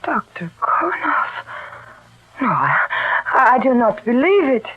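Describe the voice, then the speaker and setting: A devoted young daughter in an old radio melodrama. Her voice swings widely in pitch over slow, formal lines, through a narrow, tinny vintage recording. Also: very animated, slightly rough, exaggerated voice. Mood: grief-stricken. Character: grieving, loyal young daughter named Mia in war drama. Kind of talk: old radio drama acting.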